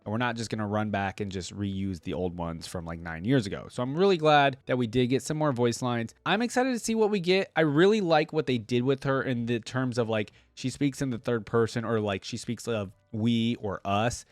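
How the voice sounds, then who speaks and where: A man's voice: mid-range voice, fairly quick, few pauses, no echo, studio quality. A man giving casual reaction commentary as a host, thinking aloud in an informal way.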